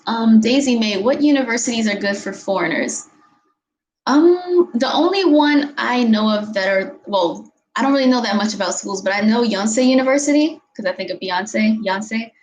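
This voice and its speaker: A woman's voice: on the high side, animated, moderate pace, darkish tone, rough recording. A woman, chatting casually as she answers viewer questions from her bedroom on a webcam, giggling often and using many fillers. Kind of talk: casual chat. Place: bedroom, indoors. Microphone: webcam at desk distance.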